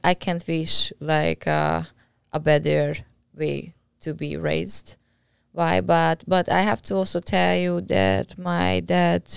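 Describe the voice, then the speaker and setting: A woman with a Hungarian accent speaks in a lowish, gravelly but smooth voice, friendly and quick to laugh. She sometimes hunts for the right English word. Her voice is close on a studio mic and completely dry. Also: dry recording. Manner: friendly, laughs easily, searches for words. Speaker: guest, judo athlete. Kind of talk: casual interview. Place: small podcast studio. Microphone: boom-arm studio mic with headphones.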